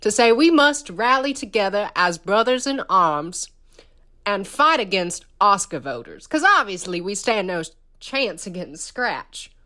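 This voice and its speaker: A woman's voice: mid-range voice, sing-song, measured pace, bright, forceful tone. A woman voicing a formal, mock-rousing man who rallies voters like brothers in arms in a comic message. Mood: mock-solemn rallying.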